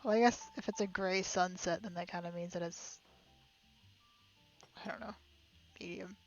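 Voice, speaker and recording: A woman's voice: lowish voice, steady tone, normal speed, vocal fry, dull audio. A woman doing casual live commentary on a game, playful and full of wry asides. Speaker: game streamer. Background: faint game music.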